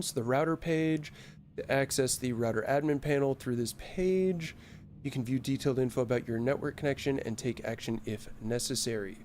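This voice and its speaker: A man's voice: mid-range voice, a little mellow, slight background noise. A young man streaming a horror game, joking and swearing as he talks to his chat and reads on-screen text aloud. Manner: jokey, profane, talks to chat. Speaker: gaming streamer. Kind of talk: casual gameplay commentary.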